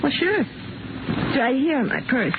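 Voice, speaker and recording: Detective's old flame, coy, easily stung, animated pitch, 1950s radio drama, tinny noisy recording. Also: female voice, measured pace, exaggerated voice. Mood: wounded. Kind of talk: 1950 radio drama acting.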